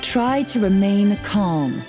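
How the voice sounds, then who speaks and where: A woman voicing a composed, dry-witted female investigator in a video game, calm and businesslike. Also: mid-range voice, takes it slowly, music in the background. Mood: cautious, firm.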